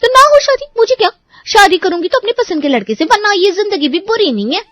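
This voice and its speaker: A woman's voice: very high voice, very animated, brisk, fairly bright tone, dry recording, exaggerated voice. A woman voicing a picky, stubborn, film-struck young sister in a cartoon story. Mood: stubborn, huffy. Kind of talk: Hindi cartoon story voice acting.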